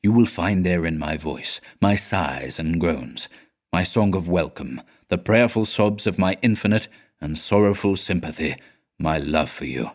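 A man voicing a solemn, devoted old music teacher in a storybook, with grave, hushed, deliberate delivery. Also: deep voice, lively intonation. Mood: solemn, tender.

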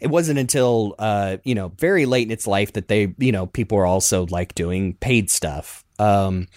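A man in his thirties reminiscing casually as a podcast host in an animated, rambling, self-deprecating way. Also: lowish voice, moderate pace, dry recording, studio quality.

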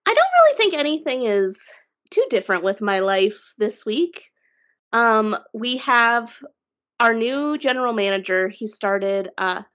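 A woman co-hosting a podcast with a cold, her voice a little congested. She chats and tells stories in a lively, rambling way, with pitch that jumps around. The audio is slightly dull and lo-fi. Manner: chatty storyteller, lively pitch swings. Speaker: podcast co-host. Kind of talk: casual chat.

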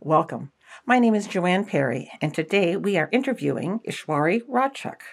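Low-pitched woman hosting a podcast. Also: a little mellow. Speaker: podcast host and interviewer. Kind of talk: podcast introduction and interview questions.

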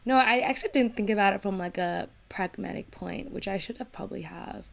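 A woman in casual conversation as a podcast co-host, animated and chatty, often saying "like". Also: medium pitch, a little fast, vocal fry.

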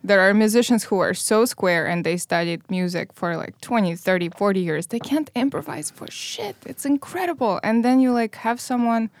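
A woman in her thirties whose first language is Russian, a violinist guest talking casually, animated, laughing often and dropping into whispered asides. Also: mid-range voice, moderate pace, few pauses, no room sound. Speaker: guest musician. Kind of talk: casual podcast chat. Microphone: headphones and studio mic.

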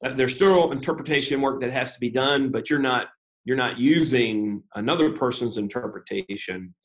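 A man, a pastor, explaining his views in an interview in a measured, methodical way, with frequent uh and um pauses and some self-deprecating humor. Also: mid-range voice, rough recording.

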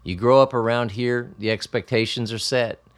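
A man's voice: mid-range voice, steady tone, normal speed, close to an everyday voice. An actor in a radio play voicing an older, gray-haired man in a wheelchair who speaks plainly and firmly.

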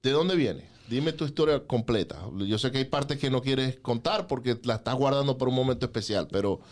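A man's voice: mid-range voice, fairly quick. A man in his forties, hosting a podcast and interviewing in a conversational style. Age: 40s. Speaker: podcast host.

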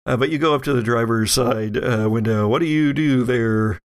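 A man's voice: mid-range voice, normal speed, clean tone. A man narrating an improvised tabletop role-playing game as game master, piecing descriptions together hesitantly.

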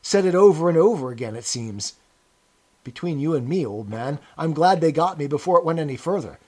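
A man acting out a nervous, servile prisoner in an audiobook. His pitch rides high and swoops about as he eagerly pleads his case, chummy and piously self-abasing. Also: lively intonation, brisk, darkish tone. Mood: sanctimonious, grateful. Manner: pleading, chummy, sanctimonious, chatty old-fashioned British idiom.

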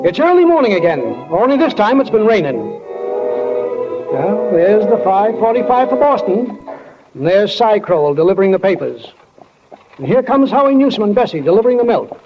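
A man's voice: high voice, very animated, moderate pace, music in the background. A man playing a folksy, wryly philosophical small-town narrator in 1940s radio style, speaking plainly and straight to the listener. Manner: homespun, plain-spoken, addresses listeners directly. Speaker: narrator of a play. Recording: muffled old 1940s radio recording.

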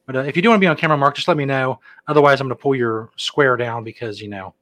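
A man's voice: medium pitch, racing, a bit bright, no room sound. A middle-aged man co-hosting a casual podcast, laid-back and joking as he rambles through announcements. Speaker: podcast co-host. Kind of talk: casual chat.

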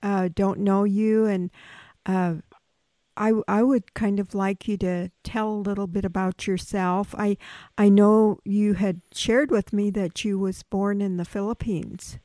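A woman hosting a radio talk show, gentle and halting as she questions her guest. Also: mid-range voice, fairly even intonation, dry recording. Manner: slow, gentle, halting with restarts. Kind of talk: casual radio interview.

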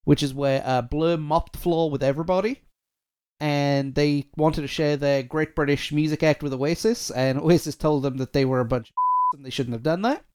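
A man's voice: fairly high pitch, moderate pace, a little mellow, clear, resonant tone. A man hosting a music podcast in a rambling, self-deprecating, chatty way, his audio sounding compressed and dull. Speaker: podcast host. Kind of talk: casual podcast chat.

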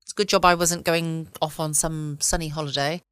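An English woman chatting informally and cosily as the host of a relaxed bedtime podcast. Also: low-pitched, fairly quick, smooth, dry recording, clean studio sound. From United Kingdom. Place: at home, indoors.

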